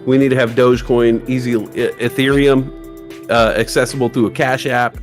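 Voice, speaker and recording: A man in his forties co-hosting a casual livestream chat, lively and acting out voices of people he quotes. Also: normal speed, faint music behind. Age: 40s. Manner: animated, acts out quoted voices with big pitch jumps. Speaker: livestream co-host.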